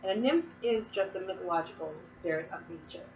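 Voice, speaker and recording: A woman's voice: lowish voice, expressive, fast talker, far from the mic. A chatty woman with a cold talks through lines of verse one by one, rambling and circling back with lots of fillers. The sound is dull and echoey, with a faint hum of room noise behind her. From Massachusetts. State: sick, sniffling and coughing. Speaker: Wiccan teacher. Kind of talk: casual explanatory talk to camera.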